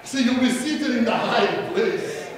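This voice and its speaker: A middle-aged South African man preaching a sermon from a church stage, rising to loud calls and prompting the congregation to answer. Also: very high voice, animated, unhurried, a crowd in the background. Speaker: pastor. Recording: echoey hall from far off.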